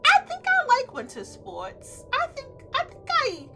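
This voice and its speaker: Woman, let's-play narrator: extremely high pitch, highly animated range, giggly and gushing, music behind. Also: unhurried. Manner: giddy, giggly, gushing reactions breaking into the reading. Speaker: streamer playing and reading a visual novel aloud.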